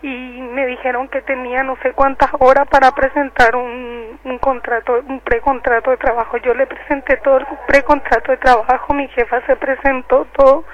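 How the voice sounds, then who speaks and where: High-voiced woman on a muffled phone. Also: little pitch movement, normal speed, hardly any pauses. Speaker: detainee giving testimony by phone. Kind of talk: personal testimony in a radio phone interview. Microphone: telephone. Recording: muffled, noisy telephone line.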